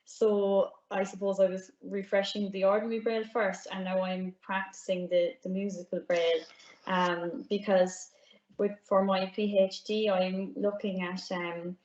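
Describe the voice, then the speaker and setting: Flat-toned young Irish woman, roomy audio. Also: medium pitch, measured pace. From Ireland. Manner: soft-spoken, with frequent ums and light laughs. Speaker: guest, music PhD student. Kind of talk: casual interview answers. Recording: roomy, dull remote audio.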